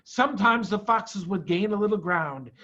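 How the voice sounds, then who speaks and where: A man's voice: sits high, animated, normal speed, sharp tone, muffled recording. A man reading a children's story aloud in a theatrical, emphatic manner. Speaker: storybook reader, school head.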